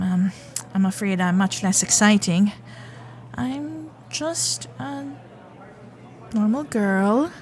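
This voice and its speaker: A woman's voice: mid-range voice, a little mellow, close to an everyday voice. A young woman playing a nervous, chatty Gilded Age heiress in a romantic audio roleplay, hesitant and genteel in manner. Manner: flustered, hesitant, babbling, genteel and formal. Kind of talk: romantic period audio roleplay, spoken intimately to listener.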